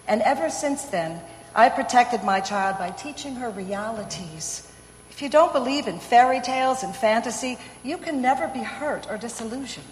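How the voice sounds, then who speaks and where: A woman's voice: on the high side, animated, natural voice. Woman playing poised, skeptical career woman, echoey hall. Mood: firm, controlled. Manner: polite, firm, measured. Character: poised, practical, skeptical working mother and manager. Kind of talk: old-time radio play acting. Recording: echoey hall with faint ambient noise.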